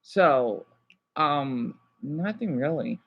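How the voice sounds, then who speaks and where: A man chatting casually to live viewers as a streamer, rambling and halting as he jumps between thoughts. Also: on the high side, animated, slightly rough, highs cut off. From United States.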